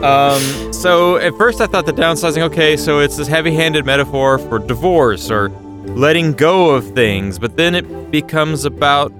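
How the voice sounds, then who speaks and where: A man on a podcast panel giving a casual film review in a halting, rambling way. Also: fairly high pitch, music in the background.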